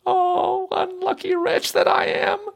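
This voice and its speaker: A haughty young fairy-tale princess, pitched extremely high. She exclaims theatrically and swoops about, spiteful and self-pitying in the way she talks. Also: lively intonation, unhurried, gravelly, heavily acted. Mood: wailing, regretful.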